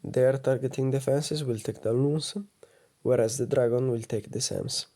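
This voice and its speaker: A man with a low, soft voice giving calm, methodical gameplay commentary as a voice-over. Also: normal speed. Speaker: gaming channel host.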